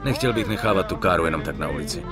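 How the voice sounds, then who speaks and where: An actor playing a cool, wary young war veteran turned petty gangster in a crime game dub. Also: male voice, deep voice, expressive, over loud music. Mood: guarded. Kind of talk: dubbed crime game dialogue.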